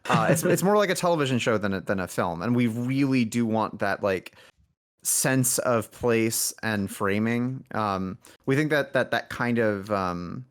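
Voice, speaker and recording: A man's voice: mid-range voice, lively intonation, measured pace. A man speaking as an indie game developer in a casual podcast conversation, rambling and correcting himself as he thinks aloud.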